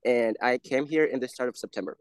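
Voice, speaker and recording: Young man, clean smooth voice, dry sound, casual exchange student. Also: mid-range voice, fairly quick, dry recording. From Republic of Georgia. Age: teens to young adult. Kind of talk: casual introduction.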